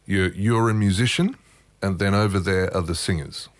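Male guest, very low voice, wry and rambling, dry close-mic sound. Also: moderate pace, dry recording. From Australia. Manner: wry, self-mocking, thoughtful, rambling anecdotes. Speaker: guest musician. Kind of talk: relaxed storytelling interview.

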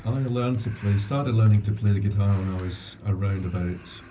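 Mellow, low-voiced male radio guest. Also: moderate pace. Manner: hesitant, modest, laughs easily. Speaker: radio guest and upcoming presenter. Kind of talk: casual radio interview. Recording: distant mic with faint background noise.

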